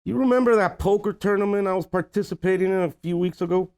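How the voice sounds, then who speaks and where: A man's voice: high-pitched, fast talker, a little mellow. A man playing a desperate, scheming middle-aged uncle in a comedy table read, blustering and pleading. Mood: sheepish confession.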